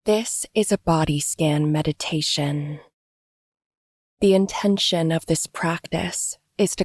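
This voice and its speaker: Female meditation guide, slightly low and breathy-husky, gentle scripted delivery, recorded very dry. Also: dry recording. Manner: gentle, unhurried, instructional. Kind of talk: guided body scan meditation, scripted narration.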